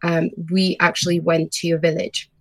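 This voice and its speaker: A woman's voice: lowish voice, level tone, normal speed, creaky, dry recording, poor audio. A woman in her thirties who grew up in France, a guest in a casual interview, telling her story with frequent ums. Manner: friendly, storytelling with many ums. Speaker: guest, brand founder.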